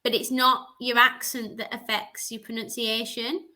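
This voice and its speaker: Upbeat woman tutor giving chatty, encouraging feedback over a video call, bright voice. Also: on the high side, level tone, normal speed. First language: English. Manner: encouraging, chatty, lots of "like" and "just". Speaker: English tutor.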